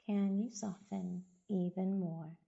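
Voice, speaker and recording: Female meditation guide, soothing and very unhurried, creaky low-key delivery, slightly muffled lo-fi recording. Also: fairly even intonation. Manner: soothing, unhurried, gentle instruction with long pauses. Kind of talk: guided body scan meditation.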